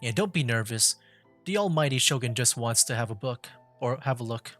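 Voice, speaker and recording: A man's voice: mid-range voice, expressive, fairly quick, fairly bright tone, faint music behind. A man giving casual live gameplay commentary as a streamer, rambling and feeling for his words. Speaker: video game streamer.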